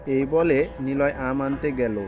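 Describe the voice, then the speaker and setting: A man's voice: medium pitch, steady tone, measured pace, lo-fi sound. A man narrating a scripted cartoon story, with music playing behind his voice.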